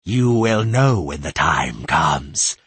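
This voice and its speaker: A man puts on a cold, inhuman entity whose voice is metallic and sneering. It speaks slowly and low, each threat stretched out and booming, with a husky edge over a dry sound. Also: big pitch swings, a bit bright, no room sound, heavily acted. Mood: threatening. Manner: slow, drawn-out, booming threats. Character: cold metallic inhuman presence, mocking, menacing.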